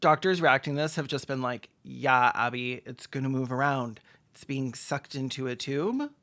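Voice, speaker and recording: A man's voice: medium pitch, moderate pace, pushed and bright. A man doing sarcastic, snarky comedic movie commentary as a video host, with dramatic emphasis. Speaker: film reaction video host.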